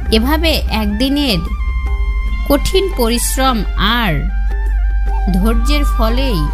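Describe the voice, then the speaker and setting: Female storyteller for children's tales, lively and melodic, unhurried, faint music behind. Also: a little high. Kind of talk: scripted children's moral-story narration.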